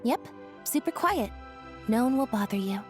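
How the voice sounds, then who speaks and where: High-voiced, guileless game maiden played by a woman. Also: expressive, moderate pace, a little mellow, soft background music, lightly acted. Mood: gentle, coaxing. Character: serene, guileless, friendly, blunt young woman. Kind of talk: video game voice acting.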